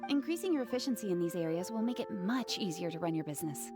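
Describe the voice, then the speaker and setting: A woman's voice: medium pitch, animated, fast talker, over music, lightly acted. An actress playing a polite, helpful young woman shop adviser in a video game cutscene. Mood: helpful, businesslike.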